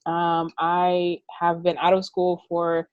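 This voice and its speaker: A woman's voice: lowish voice, completely flat delivery, slow pace, a little mellow, smooth, no echo, highs cut off. A woman in her twenties from Atlanta, talking casually on a panel with a hesitant manner and many fillers. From Atlanta, Georgia. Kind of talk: casual chat.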